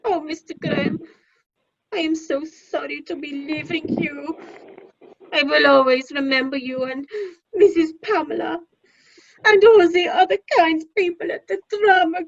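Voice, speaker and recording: A woman's voice: high-pitched, steady tone, unhurried, creaky. A woman acting a blunt, emotional Polish cleaner and waitress in an amateur radio play, with a Polish accent, over a video call. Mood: tearful. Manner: deferential, plain-spoken, prone to tearfulness. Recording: muffled lo-fi video call audio.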